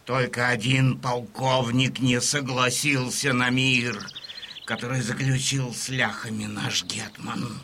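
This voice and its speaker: A man acting a proud wounded Cossack veteran who tells war news in a declamatory, deliberate way, in an audio drama. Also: mid-range voice, little pitch movement, bright, forceful tone, faint music behind. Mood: solemn, stirring.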